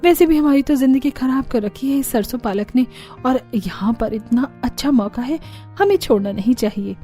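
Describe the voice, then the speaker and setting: A woman's voice: high voice, animated, moderate pace, dark tone, faint music behind. A woman playing a weary, long-suffering elder daughter-in-law in a cartoon story, grumbling and confiding. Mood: conspiratorial.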